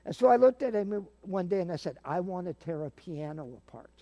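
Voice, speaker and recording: A man's voice: sits high, animated, brisk, mellow. An older man casually explaining and demonstrating things as a guest. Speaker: guest, theater sound-effects practitioner.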